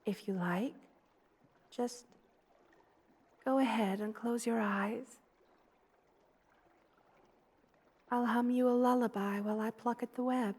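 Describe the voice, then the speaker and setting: A woman voicing a gentle, loving, motherly spider queen in a soft, hushed ASMR roleplay, tender and wistful, often slipping toward a whisper. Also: on the high side, fairly even intonation, measured pace, pauses often, breathy edge. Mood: soothing, motherly.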